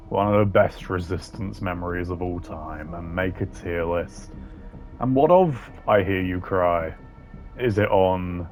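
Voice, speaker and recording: A man with a lowish voice presents casual gaming commentary as a video host. He talks very slowly, with a dry, sardonic manner and the odd swear word. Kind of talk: casual tier-list commentary.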